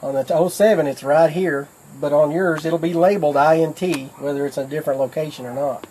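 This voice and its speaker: Higher-voiced man demonstrating, noisy recording. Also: steady tone, a little fast, a little mellow. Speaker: product demonstrator. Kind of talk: casual how-to demonstration.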